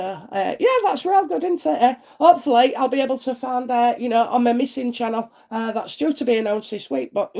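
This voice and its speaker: A woman's voice: fairly high pitch, creaky, a little mellow. A woman with a congested head cold, chatting on a live stream as a psychic reader and answering viewer comments in a homely way. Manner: chatty and homely, many "uh" fillers, asks "isn't it?".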